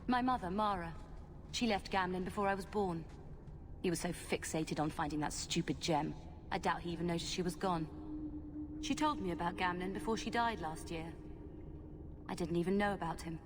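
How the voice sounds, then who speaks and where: An actress playing a feisty, wounded young long-lost daughter in a fantasy video game. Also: female voice, lowish voice, normal speed, pauses often, long reverb tail, slight background noise, lightly acted. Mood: earnest. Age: young adult. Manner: defiant, earnest, sarcastic.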